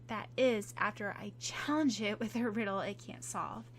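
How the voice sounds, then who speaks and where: A woman voicing a kind, determined young girl who speaks quickly and earnestly. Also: mid-range voice, a little hiss or hum, natural voice. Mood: reassuring.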